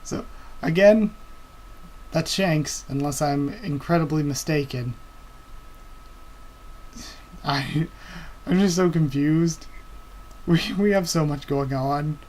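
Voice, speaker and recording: A young man reacting live to a new manga chapter, excitable and thinking aloud with stammering restarts. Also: a little high, takes it slowly, low-quality recording.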